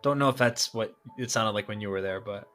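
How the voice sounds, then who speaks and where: A man's voice: lowish voice, brisk, fairly bright tone, soft background music. A man in casual chat as a tabletop player, easygoing and brief in his replies.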